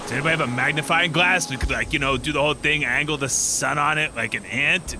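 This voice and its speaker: A man's voice: a little high, quick pace, music in the background, lightly acted. A man playing a cocky, dim, shady slacker who rambles casually and repeats himself in a comedic audio drama. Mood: defensive.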